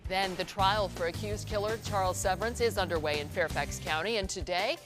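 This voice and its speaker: An American woman reads television news in a conversational anchor style. Her pitch is average for a woman, and she moves easily between scripted copy and informal remarks. There is some music in the sound. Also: a little fast. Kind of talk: newscast reading with informal co-anchor banter.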